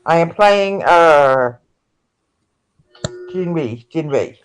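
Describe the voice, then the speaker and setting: A woman's voice: deep voice, animated, extremely slow, smooth. A woman, a theater actor, giving a casual self-introduction.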